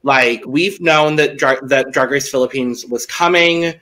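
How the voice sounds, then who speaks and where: A man's voice: mid-range voice, unhurried, pushed and bright. Male podcast co-host, rambling and a bit hesitant, with dry humor and a mid-range voice. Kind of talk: casual podcast chat.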